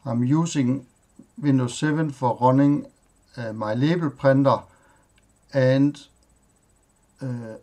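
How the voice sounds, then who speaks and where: A man whose first language is Danish, hosting a tech tutorial and walking through his computer setup in a plain, halting way, listing items with pauses and fillers. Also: mid-range voice, fairly even intonation, a little mellow. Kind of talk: screen-recorded software walkthrough.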